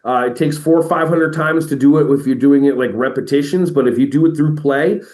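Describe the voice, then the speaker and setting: A man speaking as an educator and motivational speaker in a podcast interview, energetic and playful, often slipping into quoted voices. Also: on the high side, fairly quick, reverberant. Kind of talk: animated conversational interview.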